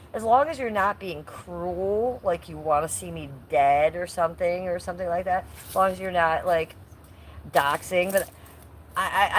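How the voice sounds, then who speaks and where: A woman in her fifties chatting casually on a livestream, teasing and sassy as she talks back to her viewers. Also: lowish voice. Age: 50s. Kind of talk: casual livestream chat, reading and answering viewer comments. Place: outdoors in a yard by a fire. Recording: noisy live stream with ambient noise.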